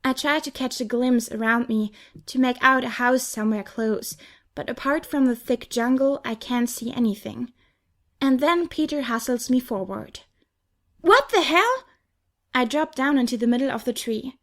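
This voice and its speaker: High-pitched woman narrating young adult fiction. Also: moderate pace. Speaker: audiobook narrator. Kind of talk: scripted first-person fiction narration.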